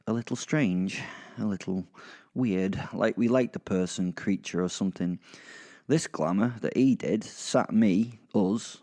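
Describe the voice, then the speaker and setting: Sardonic British werewolf narrator, played by a man, mellow voice. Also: lowish voice. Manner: rambling, confiding, sweary, dry. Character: sardonic, foul-mouthed male werewolf serial killer narrator. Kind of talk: dramatised first-person narration to listeners.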